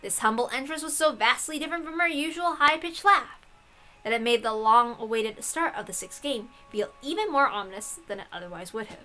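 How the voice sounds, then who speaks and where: A woman host reading a visual novel aloud, mixing dramatic narration with excitable, irreverent, sweary asides. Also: a little high, fairly quick.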